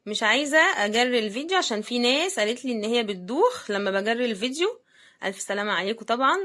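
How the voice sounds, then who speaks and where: Higher-pitched Egyptian woman, casual unboxing chat. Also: lively intonation, rapid-fire, sharp tone. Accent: Egyptian Arabic. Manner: chatty, addresses viewers directly. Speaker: host of product unboxing videos. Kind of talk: casual unboxing commentary to viewers.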